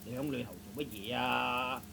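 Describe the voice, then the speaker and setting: A man acting a creaky, gruff shop boss. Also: mid-range voice, steady tone, normal speed, pushed and bright, voice pushed down. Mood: impatient, calling out. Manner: grumbling, blunt, ordering people about. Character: gruff, impatient middle-aged male shop boss. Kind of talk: Cantonese comic radio play acting. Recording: noisy old radio recording.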